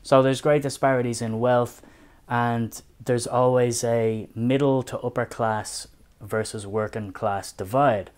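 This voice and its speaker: A young adult man with a Dublin accent, talking through a book review in a measured, thoughtful way. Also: medium pitch, fairly even intonation, a little mellow. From Ireland. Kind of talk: casual spoken review.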